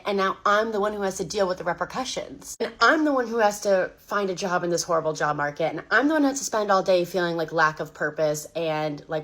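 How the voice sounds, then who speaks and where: A woman with a fairly low voice rushes through a frustrated rant, circling back to the same complaint again and again. She speaks quickly and bluntly, like a personal vlog. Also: fairly bright tone. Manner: frustrated, repetitive venting. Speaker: laid-off worker. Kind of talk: venting vlog monologue.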